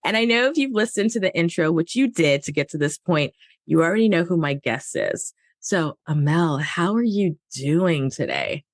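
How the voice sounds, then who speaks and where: A woman's voice: sits low, very animated, normal speed, dry recording. Upbeat woman host chatting, friendly questions, dry close mic. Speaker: podcast host. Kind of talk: casual interview chat.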